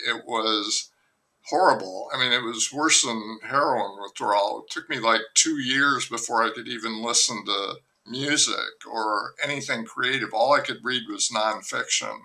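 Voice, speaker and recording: Male author guest, gravelly and very bright tone, candid and self-deprecating, loose rambling delivery. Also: medium pitch, poor audio. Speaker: novelist guest. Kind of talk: conversational interview.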